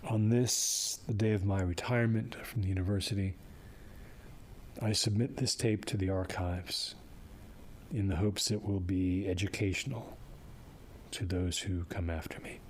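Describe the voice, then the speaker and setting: A methodical old parapsychologist. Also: male voice, deep voice. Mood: formal. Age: older. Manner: measured, explanatory. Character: aging male parapsychologist, calm and methodical. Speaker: paranormal investigator. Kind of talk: audio drama acting. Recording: steady hiss and rain behind.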